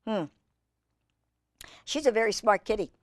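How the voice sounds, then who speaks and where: A woman's voice: medium pitch, no echo, clean studio sound. Woman reading a picture book to children. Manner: expressive, drawn-out emphasis on read words, conversational asides to young listeners. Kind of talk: children's storytime read-aloud with chatty asides.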